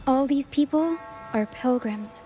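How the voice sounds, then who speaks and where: A woman's voice: high voice, a little slow, mellow. A woman playing a spirited, caring and brave young theatre-troupe acrobat in a video game. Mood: earnest, reassuring. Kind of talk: video game character acting. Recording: music behind the voice.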